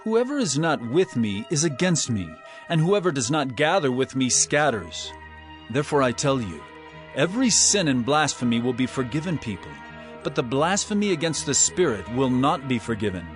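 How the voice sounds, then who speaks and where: A man's voice: mid-range voice, sing-song, moderate pace, soft background music, natural voice. An actor playing a stern, earnest male teacher with authority, declaiming emphatically in a dramatized scripture production. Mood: firm, reasoning.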